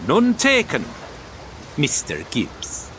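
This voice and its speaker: An actor playing a wry, proud Irish writer and wartime stretcher bearer in an audio drama, sardonic and pointed, with an Irish accent. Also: male voice, on the high side, wildly swooping pitch, takes it slowly, pushed and bright, a little hiss or hum, heavily acted.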